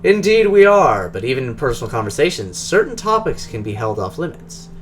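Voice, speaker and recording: A man voicing a polished, guarded Russian drone engineer who talks in a formal, measured, precise way, with a Russian accent. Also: medium pitch, big pitch swings, close to an everyday voice.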